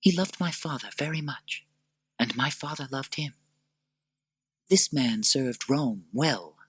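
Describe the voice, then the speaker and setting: A man voicing a fearful noblewoman, speaking in a raised voice that stays soft and pleading. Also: mid-range voice, lively intonation, takes it slowly, breathy edge, no echo, voice pushed up, full character voice. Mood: wistful.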